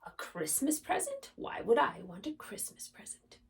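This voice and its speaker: Woman putting on a high-voiced grumpy, kindly fox. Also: huge pitch swings, moderate pace, in a whisper, far from the mic. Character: grumpy but kind-hearted male storybook fox.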